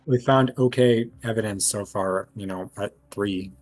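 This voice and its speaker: Male tabletop player, slightly deep voice, loose conversational delivery. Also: unhurried, no room sound. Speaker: player in a tabletop role-playing game. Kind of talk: casual chat.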